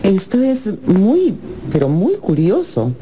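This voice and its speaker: A woman's voice: medium pitch, huge pitch swings, slow pace, background noise. An actress playing a refined, curious upper-class American mother in a Spanish-language radio drama. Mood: genteel, animated.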